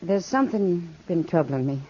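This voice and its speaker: A woman's voice: on the low side, animated, normal speed, dark tone, breathy edge. A woman playing a worldly, caring frontier saloon woman, frank and plain-spoken, in 1950s radio western style. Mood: troubled, earnest. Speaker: radio drama actor. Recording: muffled vintage 1950s radio recording.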